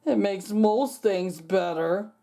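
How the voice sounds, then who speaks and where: A woman's voice: mid-range voice, slow pace, dark tone. A woman voicing a plain-spoken, slightly grumbly old grandfather in a children's storybook. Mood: amused.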